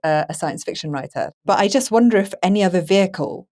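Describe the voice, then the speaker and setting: A woman chatting casually and in an animated way as a podcast host, repeating phrases for emphasis. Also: on the low side, fast talker. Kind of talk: casual chat about books.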